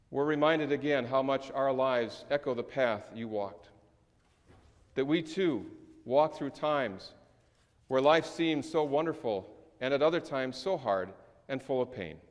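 A middle-aged male pastor preaching a sermon in a large church sanctuary, with measured delivery, slow emphatic stresses and falling endings. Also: mid-range voice. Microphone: distant camera recording in the sanctuary. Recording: long church reverb.

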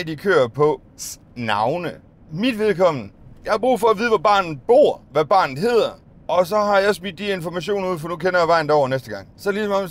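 A man's voice: fairly high pitch, fairly bright tone. A middle-aged Danish bus driver, rambling and musing aloud in a casual vlog recorded inside his minibus. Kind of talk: casual vlog monologue while driving. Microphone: phone or dashcam on the dashboard.

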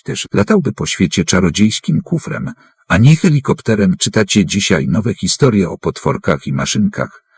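Very husky, expressive male storyteller. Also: fairly low pitch. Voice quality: very husky, raspy. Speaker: narrator of an author's prologue. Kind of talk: scripted literary address to children.

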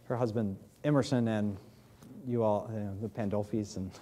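Pastor, 30s man, New England accent, mellow tone, many ums, pulpit mic in big sanctuary. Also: lowish voice, steady tone, slight background noise. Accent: New England American. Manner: halting, many fillers, friendly. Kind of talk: church announcements and prayer. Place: large church sanctuary, in doors. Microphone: podium mic.

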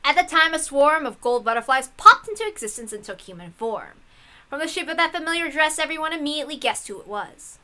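A woman host reading a visual novel aloud, mixing dramatic narration with excitable, irreverent, sweary asides. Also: high voice, brisk.

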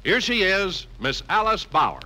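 A man's voice: sits high, big pitch swings, a little slow, pushed and bright, low-quality recording. An American man announcing in a scripted 1950s radio style as a program announcer.

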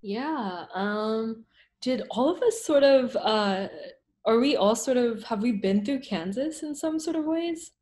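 A woman's voice: a little high, lively intonation, unhurried, warm tone. A young woman from the United States, an artist talking loosely with many ums and likes on a video call from home. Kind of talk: casual panel discussion. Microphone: laptop mic on a video call.

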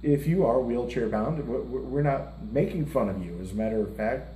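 A man's voice: medium pitch, normal speed, mellow. A middle-aged man co-hosting a music reaction show, talking casually with blunt, self-mocking humor while seated at a table. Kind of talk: casual chat. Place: indoor room, seated at a table before a lit curtain. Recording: roomy room with faint hiss.

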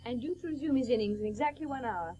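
Husky, genteel Victorian wife. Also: female voice, a little high, expressive, mellow, dull audio. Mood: lively. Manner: genteel, brisk. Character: genteel Victorian doctor's wife and mother, fond, brisk. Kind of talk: radio play acting.

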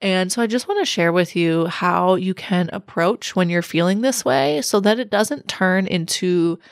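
Woman hosting her own podcast, bit raspy w a cold, lowish voice, reflective coaching vibe, dry close mic. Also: dry recording. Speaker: podcast host. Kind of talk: solo podcast talk.

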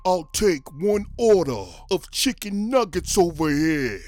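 A man's voice: sits high, very animated, takes it slowly, soft background music, voice pushed up, exaggerated voice. Man putting on a furious mafia thug. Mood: impatient. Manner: loud gruff threats, shouted out of the whisper. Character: huge menacing mafia man, angry, impatient.

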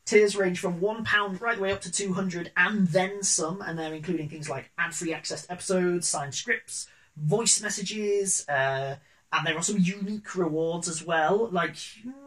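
British guy on a sofa doing a chatty jokey pitch for a podcast. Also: normal speed, fairly bright tone, rough recording. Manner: jokey, informal, bantering. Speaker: podcast producer and creator. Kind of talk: casual two-person crowdfunding pitch. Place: small living room, on a sofa.

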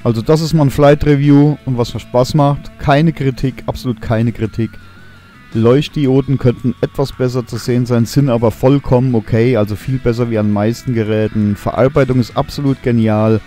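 A young man giving an enthusiastic voice-over review of a drone, relaxed and full of hesitation sounds. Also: mid-range voice, normal speed, faint music behind. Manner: relaxed, enthusiastic, many fillers.

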